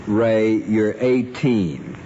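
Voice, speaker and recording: Middle-aged American dad, low-pitched, firm and worried, old radio drama on a muffled recording. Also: male voice, very slow, mellow, slight background noise. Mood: stern, worried. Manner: firm, earnest, emphatic. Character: stern, worried father, a banker, laying down the law. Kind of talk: mid-century American radio drama acting.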